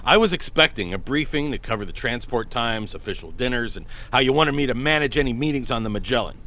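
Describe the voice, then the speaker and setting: A man's voice: medium pitch, expressive, fast, pushed and bright. A man playing a frank, concerned and dutiful starship captain in a science fiction drama, blunt in his talk. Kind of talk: acted dialogue.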